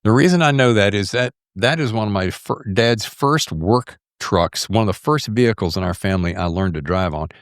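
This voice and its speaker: A man hosts a podcast in loose, casual conversation, his pitch swinging widely as he rambles along. He is from the US South and leans on folksy slang. The sound is close and dry, with very little room to it. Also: expressive, normal speed, few pauses, no room sound. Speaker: podcast host. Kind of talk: casual interview chat.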